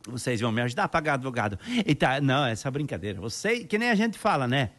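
A man's voice: mid-range voice, very animated, quick pace, fairly bright tone. A man hosting a casual radio show, greeting listeners and telling jokes with frequent laughter. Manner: jokey, chatty, laughs often. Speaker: radio host. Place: radio studio.

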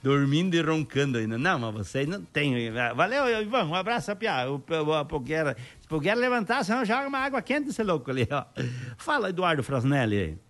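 A man's voice: on the high side, sing-song, brisk, fairly bright tone. A man hosting a casual radio show, greeting listeners and telling jokes with frequent laughter. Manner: jokey, chatty, laughs often. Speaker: radio host. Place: radio studio.

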